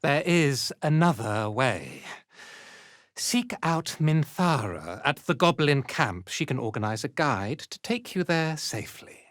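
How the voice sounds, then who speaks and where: Snooty fanatical dark elf cult boss for a game, slow theatrical sneering, super dry close recording. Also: male voice, fairly high pitch, very animated, no room sound. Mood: haughty, devout. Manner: imperious, contemptuous, theatrical delivery. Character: haughty, zealous male dark elf cult commander. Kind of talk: scripted video game character acting.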